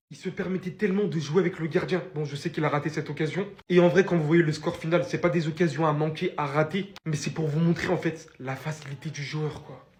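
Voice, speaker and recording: A man with a fairly high voice talks very fast about football, excited and amazed. The sound is echoey, as if he is recording in a bare room. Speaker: football YouTuber. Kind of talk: enthusiastic video commentary.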